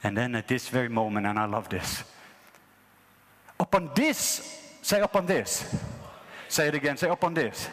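A Norwegian man in his fifties preaching a sermon with excitable, repetitive call-and-response phrasing. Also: medium pitch, normal speed, long pauses, in a large hall. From Norway. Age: 50s. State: has a mild ear infection.

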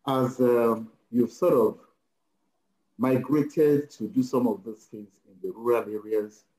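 A man's voice: medium pitch, darkish tone. A man asking questions conversationally as an interviewer, recorded with a roomy echo. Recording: roomy, echoing sound.

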